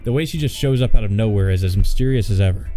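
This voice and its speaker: A man with a low, dark voice narrates a visual novel for a let's play. He mixes straight reading with blunt, sardonic asides, over faint music. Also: fast talker. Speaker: let's play host and reader.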